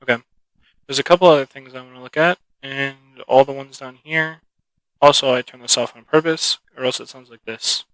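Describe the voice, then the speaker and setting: A man with a bright, sharp voice talks loosely through a gadget review, thinking aloud and trailing off. The sound is dry and close, with no room around it. He speaks into a cheap microphone. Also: mid-range voice, brisk, dry recording, rough recording. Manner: rambling, relaxed, thinking aloud. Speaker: product reviewer. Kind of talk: casual unscripted product review. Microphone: cheap mixer-connected microphone.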